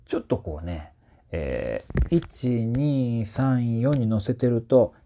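A man in his forties giving casual, explanatory commentary to camera as a voice trainer, in a slightly husky voice. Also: mid-range voice, takes it slowly, slight background noise. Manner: animated, often sings short phrases to demonstrate. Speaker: voice trainer reviewing singers. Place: small indoor room with curtains.

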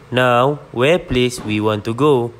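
A man's voice: medium pitch, slow, slight background noise, close to an everyday voice. A young man with a Malaysian accent playing a polite, curious tourist in an amateur audio drama, stilted in delivery. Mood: polite, interested. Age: young adult.

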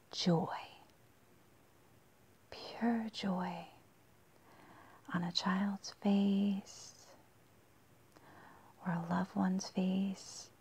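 A woman leading a guided meditation in a soft, breathy, husky near-whisper with long pauses, in a dull, muffled recording. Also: medium pitch, a little hiss or hum.

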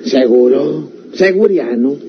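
An actor playing a sly, scheming bandit with a rural Mexican accent and folksy talk in an old radio serial. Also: male voice, mid-range voice, slow pace. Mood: jovial, sly. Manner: folksy, animated. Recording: dull, very noisy vintage radio recording.

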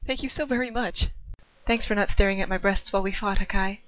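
A woman's voice: medium pitch, fast talker, a bit bright, dry recording, close to an everyday voice. A woman voicing a polite, self-conscious female demon bodyguard in an amateur anime parody dub. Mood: polite, eager. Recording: dull close mic, breath pops.

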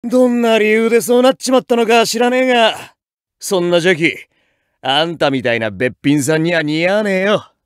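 An actor playing a cocky, swaggering young male samurai brawler, with rough street-tough talk in fighting game voice clips. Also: high voice, a little fast, dry recording, clean studio sound, close to an everyday voice. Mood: low, earnest. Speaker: game character voice. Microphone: dry studio mic.

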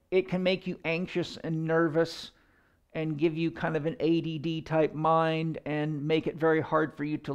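A man in his fifties teaching as a fitness coach, explaining in a deliberate, emphatic way with pauses. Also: on the high side, gravelly. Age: 50s. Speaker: fitness coach and educator giving a lecture. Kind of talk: explanatory lecture to camera. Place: indoor room in front of a chalkboard.

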